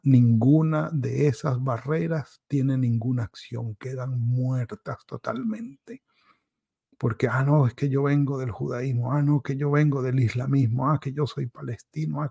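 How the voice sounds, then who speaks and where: A male pastor gives a devotional sermon at an unhurried pace. His voice is gravelly and a little husky, with a mellow, darker tone. He self-corrects mid-phrase and slips into quick quoted asides to mimic people's excuses. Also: medium pitch. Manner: pauses and restarts, self-corrects.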